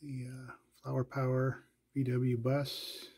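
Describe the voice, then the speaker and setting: Slow, gravelly man narrating a tour. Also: monotone, a little mellow. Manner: slow, low-key, listing items with pauses and fillers. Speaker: collector giving a tour. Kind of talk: casual walkthrough narration.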